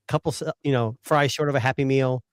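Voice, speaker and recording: A man's voice: medium pitch, steady tone, fast talker, no room sound, studio quality. A man chatting easily as a guest on a tech podcast. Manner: easygoing, laughs often. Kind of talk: casual panel chat.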